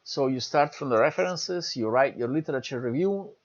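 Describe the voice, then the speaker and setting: A man's voice: mid-range voice, lively intonation, brisk, muffled recording. A man with an Italian accent, Italian as his first language, giving an online lecture from home, enthusiastic and encouraging with many fillers. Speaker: university lecturer.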